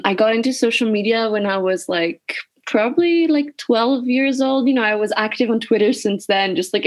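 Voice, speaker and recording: A woman in her twenties from Greece, a guest in an interview, talking casually with many "like" and "um" fillers. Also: mid-range voice. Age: 20s. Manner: chatty, lots of "like" and "um" fillers. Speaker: guest, agency founder.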